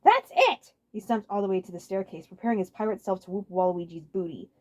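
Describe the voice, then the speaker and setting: A woman's voice: medium pitch, fairly even intonation, fast, mellow. A woman reading fan fiction aloud as a scripted story narrator on a home microphone.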